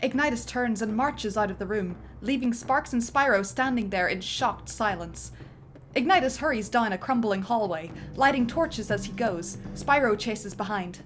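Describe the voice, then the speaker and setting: A woman's voice: fairly high pitch, moderate pace. A woman narrating script directions in a dramatic reading, building up to the action beats, with music underneath.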